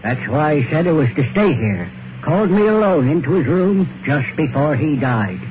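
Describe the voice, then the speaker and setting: A man's voice: fairly high pitch, animated, normal speed, close to an everyday voice. A man playing a stubborn, defensive old family caretaker in a radio mystery, with a querulous, folksy and ungrammatical way of talking. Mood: indignant, defensive. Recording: dull, noisy vintage radio recording.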